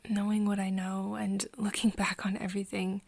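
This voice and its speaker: A woman acting an earnest, wistful friend in an intimate ASMR roleplay, speaking softly and haltingly, often near a whisper. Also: mid-range voice, completely flat delivery, dry recording. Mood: wistful, sad. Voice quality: soft, breathy, often whispery. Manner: hesitant, halting, pausing often.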